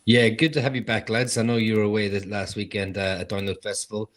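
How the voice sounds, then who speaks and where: A man co-hosting a podcast in relaxed, casual chat. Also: fairly low pitch, fast talker. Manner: relaxed, filler-heavy. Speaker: podcast co-host.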